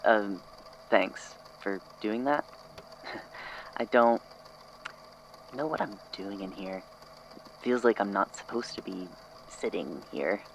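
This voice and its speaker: A man's voice: lowish voice, slow, long pauses, a little hiss or hum, poor audio. A man acting as an unsettled narrator in an audio drama, hesitantly and reflectively recounting an eerie memory. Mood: awkward, uneasy. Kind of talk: audio drama statement, hesitant first-person storytelling.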